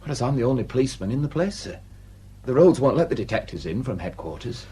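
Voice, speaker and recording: A man's voice: medium pitch, big pitch swings, quick pace, warm tone, a little hiss or hum, lightly acted. A man acting a deferential, plain-spoken village sergeant with a Northern English accent in a radio drama. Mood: deferential, urgent.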